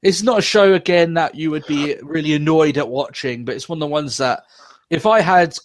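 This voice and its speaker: A man's voice: a little high, brisk. A young man hosting a podcast, reviewing wrestling in casual, profane chat with frequent laughs. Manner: profane, laughs often, rambling. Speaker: podcast host.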